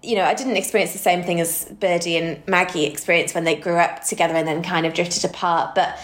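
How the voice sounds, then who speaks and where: A woman's voice: fairly low pitch, fairly even intonation, brisk. A woman in her early thirties chatting casually and reflectively as a podcast co-host, recorded in a roomy, echoey space. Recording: roomy, echoey room sound.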